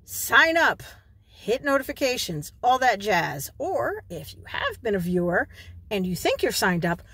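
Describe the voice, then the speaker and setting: A woman's voice: fairly high pitch, very animated, measured pace, fairly bright tone, husky. A woman in her forties from New York, vlogging casually from a parked car into a phone held at arm's length, chatty and given to digressions.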